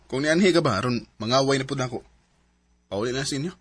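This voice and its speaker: Radio drama boyfriend, put on by a woman: young man, proud, sulking, clipped replies, deep and husky. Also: fast talker, dry recording. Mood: sulky. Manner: curt, sulky.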